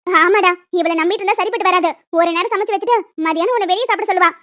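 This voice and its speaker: A woman's voice: very high voice, racing, sharp tone. A woman voicing a nagging, disapproving older mother-in-law who complains and scolds in a cartoon family drama. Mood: indignant.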